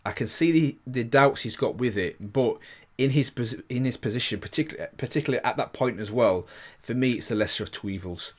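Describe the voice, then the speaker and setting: A man's voice: mid-range voice, fairly quick. A man giving casual, off-the-cuff commentary as the host of a reaction video.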